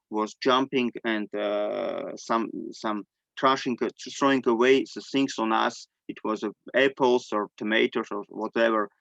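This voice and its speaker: A middle-aged man from Armenia, a native Armenian speaker, tells a personal story in halting non-native English, searching for words. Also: mid-range voice, vocal fry, a bit bright, no echo, highs cut off. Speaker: guest sharing personal testimony. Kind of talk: personal storytelling in an online discussion.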